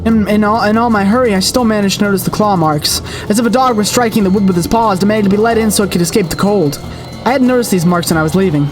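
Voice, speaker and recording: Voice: medium pitch, fast, over music. A streamer reading a horror story aloud with dramatic expression and the occasional stumble.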